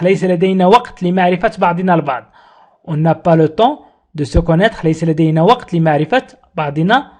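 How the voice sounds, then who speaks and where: A man teaching a language lesson, saying each phrase slowly and repeating it, then explaining it quickly. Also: high-pitched, a little mellow. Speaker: French teacher.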